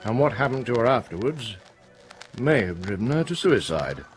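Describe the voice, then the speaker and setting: Actor as grave, insistent police inspector, lively intonation. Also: male voice, mid-range voice, soft background music, close to an everyday voice, low-quality recording. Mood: ominous, insistent. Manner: measured, firm, polite but insistent. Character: grave, unshakeable male police inspector, deliberate and probing. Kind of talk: radio play acting.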